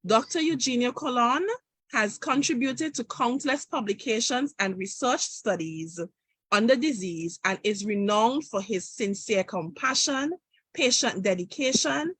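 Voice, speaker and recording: A woman, hosting an online session and reading a formal, measured introduction of a guest. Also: on the high side, animated, fairly bright tone, slightly rough, no echo. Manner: reads a prepared introduction, measured. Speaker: host.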